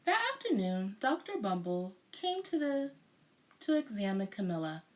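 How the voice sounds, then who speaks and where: A woman's voice: mid-range voice, big pitch swings, moderate pace. A woman narrating a children's storybook aloud expressively, as a teacher would.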